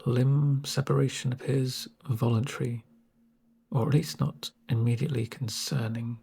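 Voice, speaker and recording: Calm male corporate medic played by a man for ASMR roleplay: hushed, very breathy, creaky, reassuring, drily sardonic. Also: mid-range voice. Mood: dry, wry. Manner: unhurried, soothing, deadpan asides. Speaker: medic caring for a patient. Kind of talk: soft-spoken ASMR roleplay.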